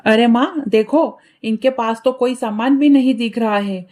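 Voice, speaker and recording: Mellow, scheming young crow sister-in-law voiced by a woman. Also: on the high side, measured pace, lightly acted. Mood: mocking. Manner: sly, mocking, exclamatory. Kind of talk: Hindi cartoon story acting.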